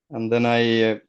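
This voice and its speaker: A middle-aged man, a guest on a video call, telling his story haltingly and searching for words. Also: mid-range voice, clear, resonant tone, highs cut off. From Afghanistan. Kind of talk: casual personal story.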